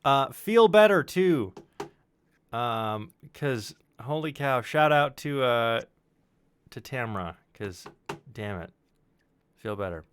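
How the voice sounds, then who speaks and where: A man's voice: medium pitch, lively intonation, slow, pauses often, fairly bright tone, slight background noise. A man hosting a casual live stream, chatting in a joking, self-deprecating way. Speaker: podcast stream host and sound designer.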